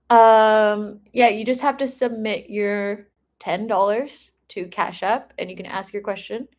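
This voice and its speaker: A woman chatting casually and informally with viewers as she hosts a live tarot reading. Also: medium pitch. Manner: chatty, informal, often breaking into giggles. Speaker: tarot reader hosting a livestream. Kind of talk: casual livestream chat with viewers.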